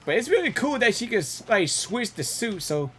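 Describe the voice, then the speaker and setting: A woman's voice: medium pitch, very animated, normal speed, a bit bright, a little hiss or hum. A woman streamer giving casual live reaction commentary on a game, repeating herself as she reacts aloud. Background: game music.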